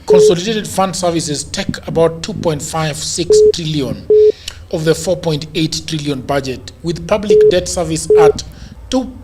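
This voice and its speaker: Higher-voiced Kenyan male host, noisy recording. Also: a little slow. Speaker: host of a political talk show with call-ins. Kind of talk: lively panel discussion, mixing English and Swahili.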